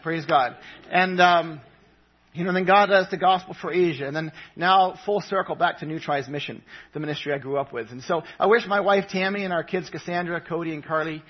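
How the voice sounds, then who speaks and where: A man's voice: on the high side, a little fast, muffled recording. A man who grew up in Papua New Guinea, a visiting missionary giving an earnest, conversational sermon in a church with many fillers and restarts.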